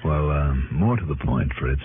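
An actor playing a confident, shrewd American male investigator in a 1960s radio drama, persuasive and quietly suspicious. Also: rumbling low, measured pace, background noise. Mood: probing. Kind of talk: 1960s radio drama acting and first-person narration. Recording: narrowband vintage radio recording with music.

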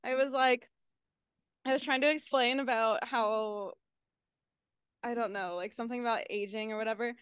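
A woman's voice: on the high side, fairly even intonation, moderate pace, long pauses, a bit bright, clear, resonant tone, no echo, studio quality. A woman in her late twenties co-hosting a podcast, chatting casually and bluntly, with jokes and swearing. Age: 20s. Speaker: podcast co-host. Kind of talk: casual chat.

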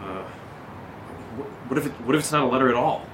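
A man acting a jumpy, flippant young man in a horror audio drama, speaking casually and haltingly. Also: medium pitch, reverberant, noisy background, lightly acted. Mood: speculating.

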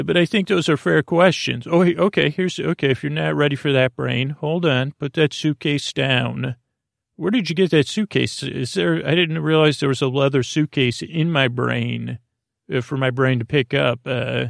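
A man with a creaky voice hosting a sleep podcast, giving a halting, meandering, absurd monologue that keeps trailing off and restarting. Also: medium pitch, dry recording, clean studio sound. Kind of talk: rambling, absurd bedtime monologue.

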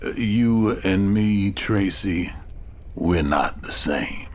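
A man playing a weary, seasoned police detective in an audio drama, folksy, dry and unhurried. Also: low voice. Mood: stern, dry. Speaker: actor in audio fiction. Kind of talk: serialized audio drama acting.

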